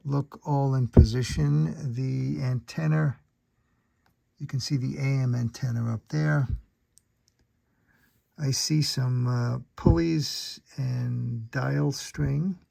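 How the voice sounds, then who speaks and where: A man explains repair work step by step in an unscripted, methodical way. His delivery is slow and halting, broken into short phrases, with a creaky, gravelly edge. The sound is very dry and close, with little room in it. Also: medium pitch, dark tone, no echo. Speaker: radio restorer and presenter. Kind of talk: unscripted how-to narration of a repair.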